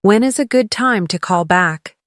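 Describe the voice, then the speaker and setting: A woman leading a scripted English lesson as a teacher, encouraging the learner and clearly enunciating model phrases for repetition. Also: medium pitch, lively intonation, normal speed, dry recording, studio quality. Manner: encouraging coaching.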